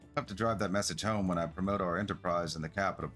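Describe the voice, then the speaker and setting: A man's voice: low voice, steady tone, quick pace, a bit bright. A man reading the lines of an eager, earnest, businesslike travel agency entrepreneur from a fantasy game. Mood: earnest, determined. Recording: music behind the voice.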